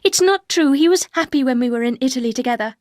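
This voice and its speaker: A woman voicing a young wife who speaks in a high, earnest and pleading way. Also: fairly even intonation, brisk, a little mellow, no echo, clean studio sound. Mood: upset. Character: timid young wife, pleading then angry.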